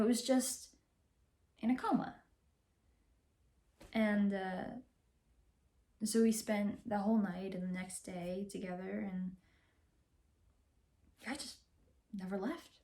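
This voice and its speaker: A young woman playing a wistful, candid actress confiding in her divorce lawyer in a halting, rambling dramatic monologue. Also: medium pitch, a little mellow, lightly acted. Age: young adult. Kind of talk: naturalistic dramatic film monologue.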